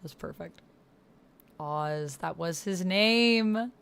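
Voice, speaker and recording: Woman chatting casually in an even, low-key pitch. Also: extremely slow, creaky. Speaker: YouTuber playing a text-based online roleplaying game. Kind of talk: casual chat, reading aloud as she types.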